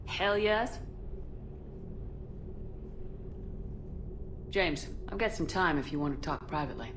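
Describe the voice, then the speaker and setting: A woman acting a steady, tough military commander in a video game, speaking in a terse, dry and direct way. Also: low voice, fairly quick, background noise. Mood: dry, friendly. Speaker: video game character actor.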